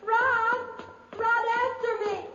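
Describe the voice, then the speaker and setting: A woman's voice: steady tone, slow pace, pushed and bright, full character voice. An actress playing an anxious, frightened lady in a gloomy mansion, pleading in melodramatic 1950s radio style. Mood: terrified, calling out. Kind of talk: 1950s radio drama acting. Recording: muffled, noisy radio recording, deep echo.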